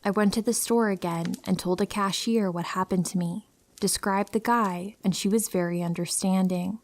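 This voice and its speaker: A woman narrating true horror stories in a calm, steady first-person reading. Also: medium pitch, moderate pace.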